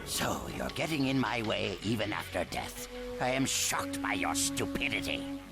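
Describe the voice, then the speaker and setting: An actor playing a stern, scornful old village leader in a dramatic video game dub, with a gravelly, commanding voice. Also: male voice, lowish voice, animated, moderate pace. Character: stern gruff old male village leader, a seasoned ninja. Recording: loud music under the voice.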